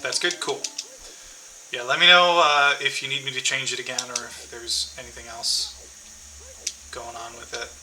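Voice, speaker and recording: A man's voice: mid-range voice, level tone, normal speed, pauses often, background noise. A man streaming a game, chatting casually to viewers and thinking aloud with many fillers and restarts.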